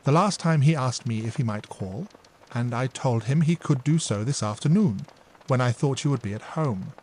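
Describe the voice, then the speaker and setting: A man's voice: medium pitch, lively intonation, moderate pace, darkish tone, natural voice. A man voicing an impetuous, playful younger sister who talks eagerly and coaxingly.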